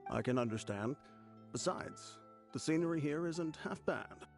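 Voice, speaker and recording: A man's voice: a little high, expressive, unhurried, vocal fry. A man playing a loyal, easygoing henchman in an anime video game, with a dryly teasing manner. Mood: wry, teasing. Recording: background music under dialogue.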